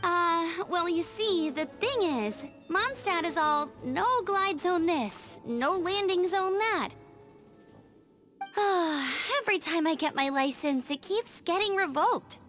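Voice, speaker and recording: A woman's voice: very high-pitched, expressive, takes it slowly, fairly bright tone, exaggerated voice. A woman voicing an upbeat, restless young scout in a video game, chirpy and chatty. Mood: sheepish. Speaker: game character. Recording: game audio with music behind.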